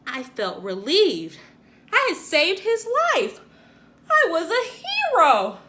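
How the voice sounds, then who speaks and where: A woman reading a children's picture book aloud in an expressive, theatrical way, like a teacher acting it out for young pupils. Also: high-pitched, slow, a little hiss or hum. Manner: theatrical, acts out the dialogue.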